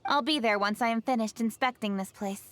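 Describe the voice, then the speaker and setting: An actress playing a stern young official in a video game, speaking with firm, commanding authority. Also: female voice, a little high, fairly quick, slight background noise, natural voice. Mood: businesslike. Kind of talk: video game story dialogue.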